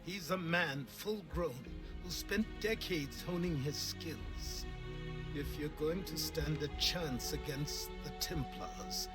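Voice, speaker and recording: A stern old mentor from a video game. His voice sits on the high side and he lectures bluntly and pragmatically. Music plays clearly behind him. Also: takes it slowly. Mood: stern, lecturing.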